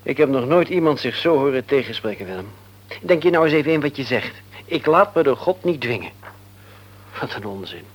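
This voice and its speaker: A calm, devout friend. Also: male voice, mid-range voice, brisk. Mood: calm counsel. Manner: quiet, calm and counselling. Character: calm devout friend, grief-tested middle-aged man. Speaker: friend offering comfort. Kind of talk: radio play acting.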